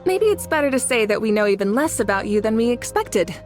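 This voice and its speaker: A woman voicing a composed female fire goddess who leads her nation, calm, polite and measured in a video game cutscene. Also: fairly high pitch. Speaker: leader. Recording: game audio with faint music.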